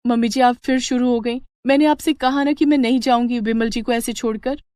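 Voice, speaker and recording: A woman voicing a determined, hopeful young village daughter-in-law, earnest and expressive, in a moral story. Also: on the high side, fairly quick, dry recording, clean studio sound, close to an everyday voice. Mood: protesting. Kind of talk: dramatic Hindi moral-story voice acting.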